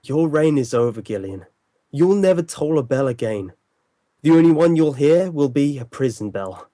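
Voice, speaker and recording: Man acting a commanding, mellow-voiced detective. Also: on the high side, animated, normal speed, dry recording, studio quality, lightly acted. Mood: stern, accusing. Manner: authoritative, formal, theatrical. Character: commanding, incisive male detective, calm and stern. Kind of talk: old-time radio drama acting.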